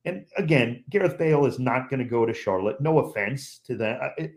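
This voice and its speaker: A man's voice: mid-range voice, expressive, fairly quick. A man who calls games on the radio, a guest on a podcast chat, talking in an emphatic, opinionated way and repeating points for stress.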